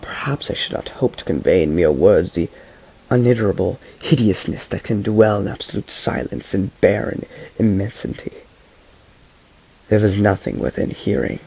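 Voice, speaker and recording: A young man reading a horror story aloud in a slow, measured first-person voice, stumbling now and then over long words. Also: on the low side, vocal fry, husky, poor audio. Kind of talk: amateur audiobook reading. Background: loud background music.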